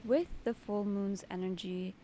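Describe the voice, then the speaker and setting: A woman leading a guided meditation as its host, giving soothing, deliberate instructions in short phrases. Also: medium pitch, dark tone, slight background noise.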